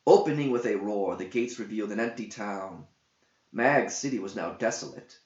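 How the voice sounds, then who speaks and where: A man with a fairly low voice narrates an epic in verse. He takes his time, declaiming theatrically. The recording is dull, with a clear echo of the room around him. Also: expressive, normal speed, darkish tone. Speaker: narrator, author reading own verse epic. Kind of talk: dramatic scripted verse narration. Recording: dull roomy echoing home recording.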